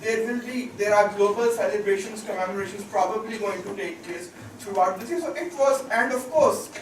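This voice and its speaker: A man's voice: sits high, brisk. A man on a seminar panel, lecturing at length and listing places and events. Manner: lecturing, mixes in Urdu asides. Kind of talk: academic panel discussion. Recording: roomy hall, distant mic, noisy.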